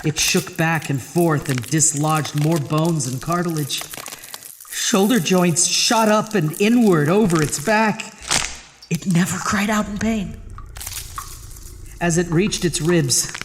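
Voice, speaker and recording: A man's voice: on the high side, takes it slowly, slight background noise. A man playing a tormented, terrified husband in a horror audio drama, dramatic and intense. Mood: grim narration. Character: terrified, tormented husband narrating his own horror tale. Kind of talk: horror audio drama, first-person narration and dialogue. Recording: long reverb, music underneath.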